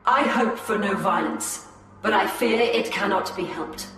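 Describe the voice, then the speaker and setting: An actress playing a resolute, solemn elf seer in a video game, with formal, measured speech. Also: female voice, medium pitch, lightly acted. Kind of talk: video game cutscene acting. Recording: cavernous reverb, distant, over music.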